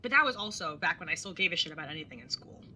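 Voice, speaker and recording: A woman plays a casual young older sister in an audio drama. Her voice is a little low yet bright, with blunt, dry, teasing delivery. Faint rumbling noise runs underneath. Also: expressive, racing. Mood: amused, embarrassed. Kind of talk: naturalistic audio drama dialogue and first-person narration.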